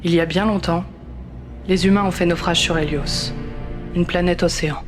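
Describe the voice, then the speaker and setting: A man narrates a science-fiction audio drama over clear music. His voice is on the high side, and he speaks solemnly in clipped, scripted phrases. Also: fairly even intonation, moderate pace.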